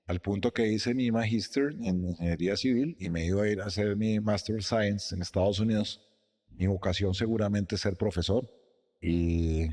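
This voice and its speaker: A middle-aged man, a company president, telling his story in a measured, reflective way during an interview in his office. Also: lowish voice, darkish tone, in a large hall. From Costa Rica, raised in Guatemala and Colombia.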